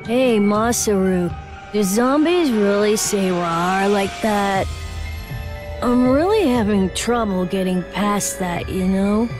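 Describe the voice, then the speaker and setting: Slow-talking, nitpicking kid. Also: female voice, medium pitch, lively intonation. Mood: puzzled. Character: mild, nitpicking child questioning a playmate. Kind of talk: video game voice acting. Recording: voice over music.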